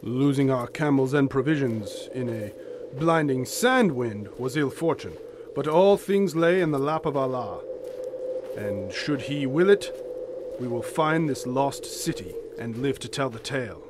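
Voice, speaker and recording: Actor as solemn, devout desert companion, mid-pitched. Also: male voice, expressive, unhurried, noisy background, close to an everyday voice. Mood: stoic, devout. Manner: formal, archaic, solemn phrasing. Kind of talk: audio drama acting.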